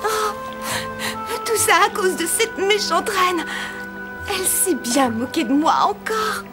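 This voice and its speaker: A woman's voice: very high-pitched, animated, slow pace, over music, exaggerated voice. A woman playing a sweet, earnest young princess in a cartoon fairy tale, polite and emotional. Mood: heartbroken. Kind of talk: animated fairy tale acting.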